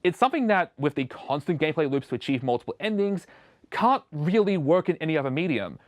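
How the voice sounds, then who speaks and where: Higher-voiced man narrating, very dry sound. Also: big pitch swings, fast talker, no echo. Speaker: show host presenting an opinion segment. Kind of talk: scripted video essay narration.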